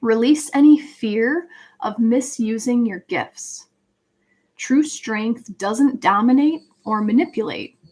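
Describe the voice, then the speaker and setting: A woman's voice: fairly high pitch, slow, darkish tone. A woman hosting a live spiritual card reading, speaking conversationally and earnestly as she guides listeners and reads out messages. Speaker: live tarot reader and host. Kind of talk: casual livestream chat with card reading.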